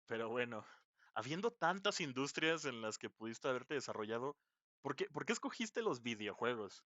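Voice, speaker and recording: A man's voice: mid-range voice, very animated, moderate pace, fairly bright tone, dry recording. A young man from Mexico, a podcast host and indie game developer, chatting casually and laughing often. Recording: dull lo-fi mic, dry.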